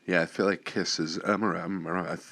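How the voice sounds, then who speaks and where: A man in his fifties hosting a podcast interview, easygoing and quick to agree. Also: low-pitched, steady tone, fairly bright tone. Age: 50s. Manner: easygoing, lots of fillers and agreeing "yeah". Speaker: podcast host. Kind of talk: casual interview.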